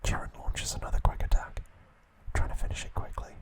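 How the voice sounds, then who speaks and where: A man hosting an ASMR video, giving a whispered recap with playful asides. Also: fairly quick. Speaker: ASMR channel host.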